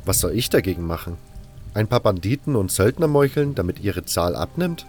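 A man's voice: deep voice, sing-song, brisk, darkish tone. A man playing a wary camp member who narrates his own story in a German-language fan audio drama, with music underneath. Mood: questioning, sceptical. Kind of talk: first-person audio drama narration with dialogue.

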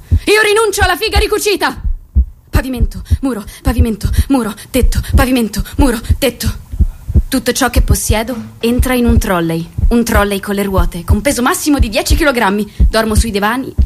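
An actress playing a restless, defiant young drifter in a rhythmic theatrical monologue. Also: female voice, on the high side, background noise, lightly acted. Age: young adult. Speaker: stage actress. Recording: music under the voice. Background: stage music.

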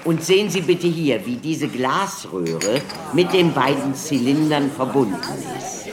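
A man's voice: mid-range voice, very animated, measured pace, a crowd in the background. A man in a German radio drama playing a courteous, persuasive showman of science with a grandiloquent, theatrical manner. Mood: showy, eager. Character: showman doctor giving electricity demonstrations, courteous, theatrical, persuasive. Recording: echoey hall with audience murmur.

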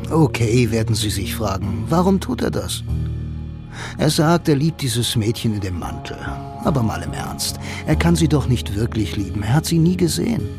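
An actor playing a blind, film-loving loner, narrating a radio play in a dry, wry, laconic manner. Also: male voice, mid-range voice, sing-song, moderate pace. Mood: wry, self-questioning. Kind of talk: first-person radio drama narration and dialogue. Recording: loud music behind the voice.

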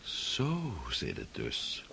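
An actor playing a smooth, scheming older prince in a radio drama, gravelly and measured, with formal theatrical phrasing. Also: male voice, rumbling low, huge pitch swings, pushed and bright, exaggerated voice. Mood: controlled urgency. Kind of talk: Dutch radio drama acting. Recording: noisy old radio drama recording.

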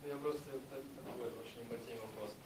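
A man's voice: medium pitch, fairly even intonation, fast, a little mellow. A man in a church meeting asking a question, hesitant and searching for words. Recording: echoey room with crowd, mic far off.

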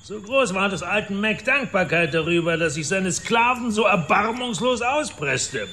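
An actor in a German radio drama playing an older, boastful, domineering self-made property developer who talks in a gruff, swaggering way. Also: male voice, high-pitched, animated, moderate pace, pushed and bright, a little hiss or hum, exaggerated voice. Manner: gruff, swaggering, coarse storytelling.

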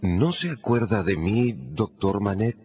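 An actor in a radio drama playing a courteous banker, asking questions gently and hesitantly. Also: male voice, on the low side, very animated, unhurried, lo-fi sound, slight background noise. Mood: gently probing. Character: courteous, careful English banker.

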